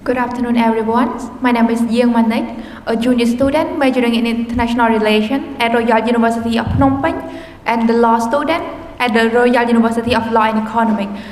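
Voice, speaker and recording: Brisk young woman debater. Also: fairly high pitch, fairly even intonation, a little mellow. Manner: brisk, formal. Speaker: student debater. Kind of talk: formal self-introduction. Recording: echoey hall with long reverb.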